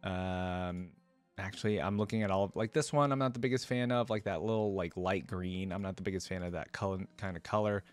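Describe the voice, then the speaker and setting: Low-voiced man giving casual reaction commentary. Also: a little fast, smooth, faint music behind. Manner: conversational, thinking aloud, asking viewers questions. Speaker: host of a reaction show. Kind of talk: casual reaction commentary, reading wiki pages aloud.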